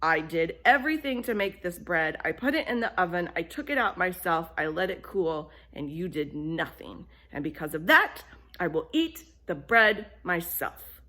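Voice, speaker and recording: A woman's voice: on the low side, very animated, moderate pace, pushed and bright, heavily acted. A woman playing a hardworking, exasperated little hen in a children's story, speaking emphatically and bossily. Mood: indignant.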